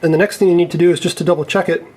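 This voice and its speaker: A man with a slightly high voice talks through a hands-on repair as a technician would in a tutorial. He explains each step methodically and carefully, in a casual, unscripted way. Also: fairly even intonation, racing, a little mellow.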